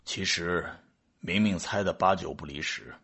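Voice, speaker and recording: Radio drama chief scientist: male actor, low, husky voice, calm authority, measured official delivery. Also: exaggerated voice. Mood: calm, justifying.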